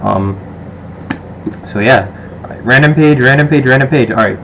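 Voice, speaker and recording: A man's voice: mid-range voice, moderate pace, a bit bright, a little hiss or hum, low-quality recording. A young man with a western New York American accent rambles casually through a survey vlog, full of ums and restarts. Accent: western/upstate New York American. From western New York, USA. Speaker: vlogger.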